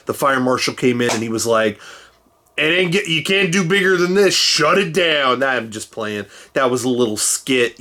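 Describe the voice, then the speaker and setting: A man in his thirties hosting a casual chat from a cluttered room at home. He rambles and jokes, and he is getting over a cold. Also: fairly bright tone. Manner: rambling and jokey, with sudden jumps in pitch. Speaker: host.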